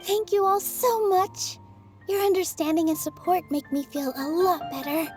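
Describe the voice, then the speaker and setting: An actress playing a gentle, earnest young theater dancer with a soft, polite manner. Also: female voice, extremely high pitch, fairly even intonation, normal speed, faint music behind. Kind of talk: video game voice acting.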